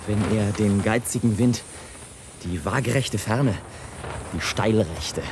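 An actor playing a mocking, indignant balloonist who declaims and mutters theatrical asides in a radio play. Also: male voice, fairly low pitch, takes it slowly, vocal fry, background noise. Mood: heated declaiming. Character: sardonic, indignant male balloonist watching people from above. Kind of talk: German radio play, literary monologue. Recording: music under the voice.

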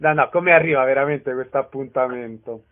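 A man hosting a radio interview in a clear presenter's style. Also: mid-range voice, a little fast, phone-quality sound, a crowd in the background.

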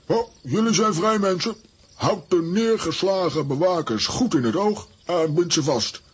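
A protective, bossy man adventurer for a children's audio play. His voice sits high for a man and has a hoarse grain. He blusters and exclaims theatrically. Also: lively intonation, a little slow, full character voice. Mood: commanding. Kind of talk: children's audio drama acting.

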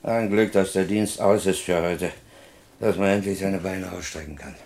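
An actor playing a weary, down-to-earth police sergeant at home in a 1960s radio play, dry and plain-spoken. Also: male voice, sits low, fairly even intonation, normal speed, a little mellow. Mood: tired, dry.

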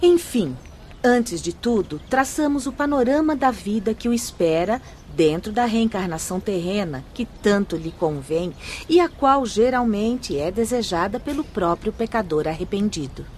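An actress playing a wise, gentle spirit teacher in a Brazilian radio drama, speaking formally and with measured, didactic care. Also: female voice, mid-range voice, expressive, a little hiss or hum, natural voice. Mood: emphatic, explaining. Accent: Brazilian Portuguese. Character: wise, gentle woman spirit instructor explaining afterlife procedures.

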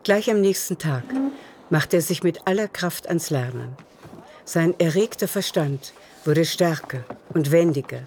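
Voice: mid-range voice, a little slow, darkish tone. A narrator telling a literary story in a radio drama, in a composed manner. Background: music.

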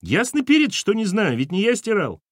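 Casting: cheeky schoolboy voiced by adult male narrator, falsetto-leaning pitch, bouncy delivery, flippant slang, audiobook. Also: huge pitch swings, moderate pace, dry recording, studio quality, heavily acted. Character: cheeky, carefree schoolboy son, loud and slangy.

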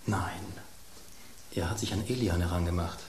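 Pompous, lowish man as a sensual fine wine. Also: normal speed, a little mellow, roomy sound, a little hiss or hum, lightly acted. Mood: savouring. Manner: pompous, savouring. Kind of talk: German radio play acting.

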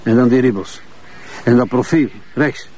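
An actor playing a testy, pedantic forensic investigator with a Flemish accent in a radio crime drama. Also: male voice, medium pitch, moderate pace, warm tone, slight background noise, natural voice. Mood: testy, explaining.

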